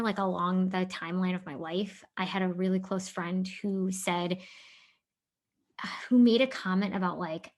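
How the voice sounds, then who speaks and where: A woman in her late thirties co-hosting a podcast, speaking candidly and informally as she reflects on herself. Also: mid-range voice. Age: late 30s. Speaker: podcast co-host being interviewed. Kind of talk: casual podcast chat.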